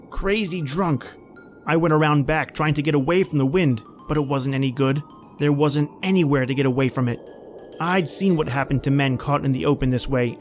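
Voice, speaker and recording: A man's voice: on the high side, natural voice. A man playing a haunted, panicky man stranded in polar cold, telling his ordeal in an old-time radio horror style. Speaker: podcast host and actor.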